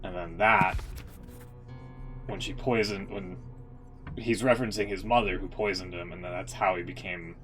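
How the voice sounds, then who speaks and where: A man's voice: low-pitched, steady tone, moderate pace, pauses often, fairly bright tone, soft background music. A man giving casual, rambling game-streamer commentary, thinking aloud and swearing casually. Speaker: game streamer reacting to lore and cutscenes.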